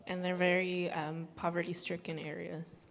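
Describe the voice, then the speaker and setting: A woman's voice: lowish voice, little pitch movement, measured pace, gravelly, slight background noise. A woman interpreting a sermon into English, relaying the pastor's words steadily. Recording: hall reverb.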